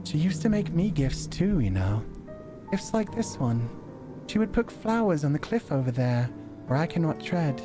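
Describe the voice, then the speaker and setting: Guy doing a gentle mermaid lady for a visual novel, kinda raised pitch, fancy old-timey wording, sweetly manipulative, music behind. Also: sing-song. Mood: fond, wistful. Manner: formal, old-fashioned, unhurried diction. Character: gentle, benevolent, subtly manipulative female mermaid.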